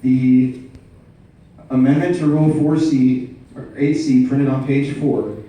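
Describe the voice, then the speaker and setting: Echoey, mellow-voiced man questioning insistently. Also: medium pitch, takes it slowly. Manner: precise, insistent, methodical questioning. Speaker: delegate questioning the chair at a meeting. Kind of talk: formal procedural debate. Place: large indoor meeting room. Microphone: floor microphone. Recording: roomy echoing hall, distant mic.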